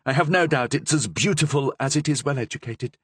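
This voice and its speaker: A shrill, excitable young queen in a dry, close storybook reading. She is imperious yet giddy, with her exclamations leaping high. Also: brisk, husky, no room sound. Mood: commanding.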